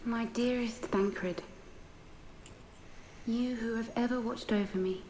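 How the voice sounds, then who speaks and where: A woman playing a gentle, serene noblewoman in a video game, with tender, softly breathed archaic speech. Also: mid-range voice. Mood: tender farewell. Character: gentle, serene, self-sacrificing noblewoman with sacred bearing. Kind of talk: scripted video game voice acting. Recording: echoing voice, faint background noise.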